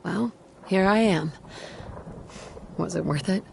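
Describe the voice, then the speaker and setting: A man's voice: high voice, slow, gravelly, slight background noise, exaggerated voice. A man playing a questioning, wounded wanderer hero in a post-apocalyptic video game. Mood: hurt, challenging. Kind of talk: video game dialogue acting.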